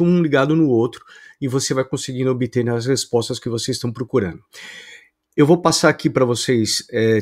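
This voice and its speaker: A man's voice: medium pitch, a little mellow. Man teaching a course. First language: Portuguese. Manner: explanatory, frequent "é" fillers and restarts. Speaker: course instructor. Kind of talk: online course lecture.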